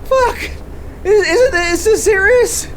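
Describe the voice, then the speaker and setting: An actress playing a detective who panics, swears and stammers, her voice gravelly and shaken. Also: very high-pitched, slow, slight background noise. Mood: rattled. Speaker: detective Carrigan.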